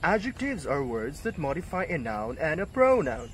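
Man playing a helpful, deliberate zebra puppet. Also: fairly high pitch, big pitch swings, a bit bright, a little hiss or hum. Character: helpful friendly male zebra puppet, confident little teacher. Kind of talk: scripted educational puppet show.

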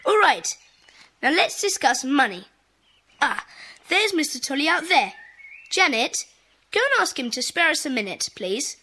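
A woman's voice: high voice, big pitch swings, bright, forceful tone, heavily acted. A woman playing an eager, bossy British schoolboy leading a club in a children's audio drama. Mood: brisk, businesslike. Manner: brisk, organising, enthusiastic.

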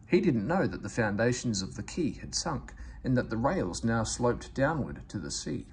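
A man's voice: medium pitch, very animated, normal speed, a little hiss or hum. A man reading a children's story aloud as scripted narration. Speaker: storybook reader.

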